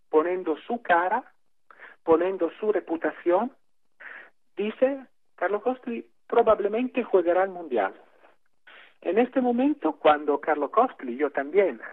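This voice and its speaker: Guy on a tinny phone line, talks slow, lots of ums, kinda high voice. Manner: halting, many fillers, slow and deliberate. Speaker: interview guest on phone. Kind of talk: phone interview, explaining at length.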